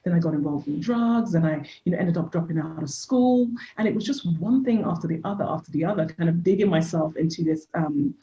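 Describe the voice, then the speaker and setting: A woman's voice: fairly low pitch, lively intonation, brisk, hardly any pauses, slight background noise. A woman in her forties from Nigeria with an English accent, a podcast guest openly and reflectively telling her life story. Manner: reflective, open, warm storytelling with soft laughs. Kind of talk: candid life-story interview talk. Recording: echoey room, dull lo-fi sound.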